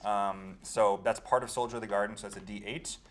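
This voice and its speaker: Male game player, lowish voice, casual and improvised, halting as he thinks aloud. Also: fairly even intonation. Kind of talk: casual improvised description of play.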